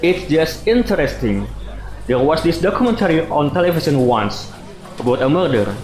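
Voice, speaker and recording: An actor playing a laid-back, blunt, joking office colleague in a radio drama, with casual, plain-spoken delivery. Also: male voice, on the high side, big pitch swings, moderate pace, darkish tone. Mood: intrigued. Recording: echoey room with music behind.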